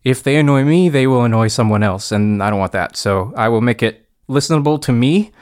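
An easygoing man explains his audio editing process in casual podcast chat. He is relaxed and a bit self-deprecating, with a middle-of-the-road pitch. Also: a little fast.

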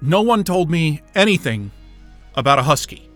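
An actor playing an irritable, sarcastic, sweary astrophysicist in a science fiction audio drama. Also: male voice, on the high side, big pitch swings, normal speed, fairly bright tone, faint music behind. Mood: sarcastic, baffled. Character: Wayne, irritable sarcastic astrophysicist, adult man. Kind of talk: naturalistic audio drama acting.

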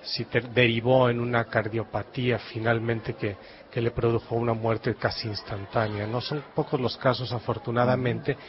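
A man's voice: medium pitch, little pitch movement, normal speed, few pauses. A man from Galicia, a novelist, answering interview questions in a reflective, literary way with dry humor. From Galicia, Spain. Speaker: novelist guest. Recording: dull lo-fi radio sound.

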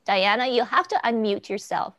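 A woman's voice: fairly high pitch, moderate pace, a bit bright, dry recording. A woman hosting a group video chat in a conversational, somewhat rambling way, circling back mid-sentence. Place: remote video call.

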